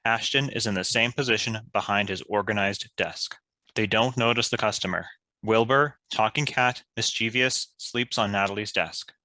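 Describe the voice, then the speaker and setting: A man with a crisp-edged, bright voice on the low side reads out screenplay directions as narrator. The sound is dry and close. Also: normal speed, dry recording.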